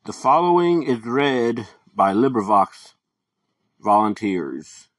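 A man hosting a podcast, giving a scripted introduction in a measured, deliberate way. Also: medium pitch, sing-song, no room sound. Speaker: podcast host.

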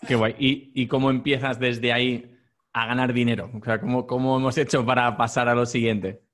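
A man's voice: medium pitch, flat delivery, moderate pace, fairly bright tone. A man hosting a podcast, chiming in with short questions and encouraging remarks in casual chat. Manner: brief encouraging interjections and questions. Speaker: podcast host.

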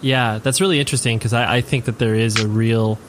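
A man's voice: mid-range voice, fairly even intonation, a little fast, background noise. A man in his thirties hosting a podcast, chatting casually in an informal, rambling, slangy way. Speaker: podcast host.

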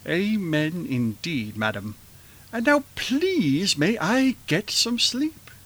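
An actor playing a sleepy, dryly exasperated middle-aged husband in a 1940s-style radio play. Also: male voice, high voice, big pitch swings, takes it slowly, a bit bright, exaggerated voice. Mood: drowsy exasperation.

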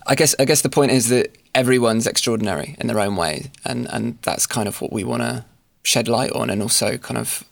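A man hosting a podcast interview, asking questions in a halting way with many ums and restarts. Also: mid-range voice, gravelly, no echo. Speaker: podcast host.

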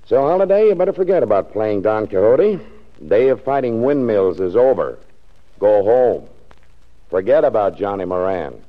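An actor playing a brisk, skeptical, emphatic district attorney in a 1940s radio drama. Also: male voice, lowish voice, sing-song. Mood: dismissive. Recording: muffled 1940s radio recording.

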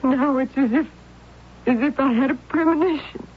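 An actress playing a devoted, anxious aunt in a 1970s radio drama, speaking in a soothing, earnest way. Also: female voice, high-pitched, moderate pace, a little hiss or hum, natural voice. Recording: tinny old radio broadcast.

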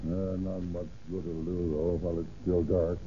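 An actor playing a plain-spoken, decisive police chief in an old radio crime drama. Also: male voice, deep voice, flat delivery, moderate pace, warm tone, natural voice. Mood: matter-of-fact. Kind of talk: 1930s-40s radio crime drama acting. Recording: tinny, noisy old radio recording.

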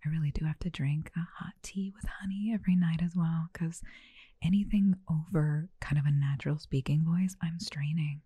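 A woman with a naturally low, dark voice whispers softly into an ASMR microphone. Her tone is breathy and husky, and she sounds playful and intimate. The sound is dry and very close. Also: fairly even intonation, moderate pace, no room sound. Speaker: podcast co-host. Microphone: special ASMR microphone.

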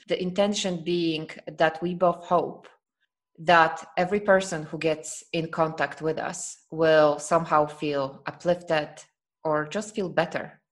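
A woman's voice: low voice, a bit bright. A woman from Poland hosting a podcast, talking in an earnest, reflective way and often breaking into laughter. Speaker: podcast host. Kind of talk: casual podcast chat.

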